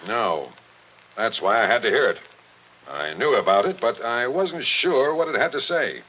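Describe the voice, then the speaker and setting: A muffled vintage radio recording of a man playing a relentless police captain. He has a mid-to-low male voice with very lively pitch, pressing each point in clipped, forceful tough-cop style, as in 1940s radio mystery acting. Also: normal speed, natural voice. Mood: gruff, insistent. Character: gruff, relentless police captain laying out the truth.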